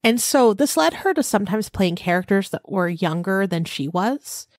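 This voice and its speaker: A woman's voice: medium pitch, expressive, moderate pace, a little mellow, no room sound, clean studio sound. A woman in her thirties co-hosting a casual true crime podcast, chatting and reading from researched notes. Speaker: podcast co-host.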